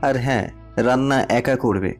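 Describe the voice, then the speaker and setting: A man's voice: medium pitch, unhurried, close to an everyday voice. A man playing a cold, domineering rich man who bullies his mistress, speaking in curt commands in a romantic audio story. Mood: stern, commanding. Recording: music under the voice.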